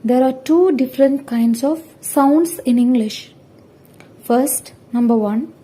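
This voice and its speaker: A young woman, a student presenter, reading out a scripted lesson in a careful, halting, word-by-word manner. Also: high-pitched, dark tone, breathy edge. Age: young adult.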